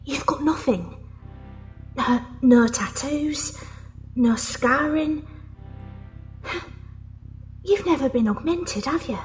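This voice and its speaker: A hushed, secretive bar contact. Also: female voice, expressive, slow pace, pauses often, faint music behind. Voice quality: hushed, low-voiced.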